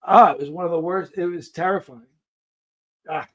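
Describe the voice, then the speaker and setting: Middle-aged male streamer, voice a little on the high side, informal chat with viewers, animated anecdote teller. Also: moderate pace. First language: English. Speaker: live stream host. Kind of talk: casual chat answering live viewers, telling travel anecdotes.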